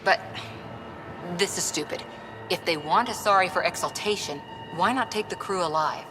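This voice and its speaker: A woman acting a tough young lieutenant in a sci-fi game cutscene. Her voice is on the low side and earnest, carried in a long reverb. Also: moderate pace, music in the background, close to an everyday voice. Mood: shaken, angry. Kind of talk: video game cutscene dialogue.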